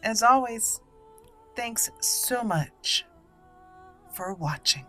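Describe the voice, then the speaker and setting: A woman's voice: on the high side, expressive, very slow, fairly bright tone, soft background music. A woman hosting a casual game walkthrough, thinking aloud in short fragments as she plays. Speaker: gaming channel host.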